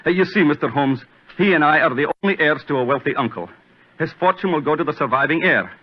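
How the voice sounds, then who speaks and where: An actor playing an agitated, self-important gentleman, fearful and obsessed, with a dramatic, overwrought delivery in 1940s radio drama style. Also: fairly high pitch, lively intonation, quick pace, breathy edge. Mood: uneasy, earnest. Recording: muffled old radio recording.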